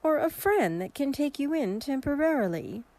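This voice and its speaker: A woman playing a kindly, sympathetic shelter volunteer in a radio drama, gentle and solicitous. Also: high-pitched, very animated, moderate pace.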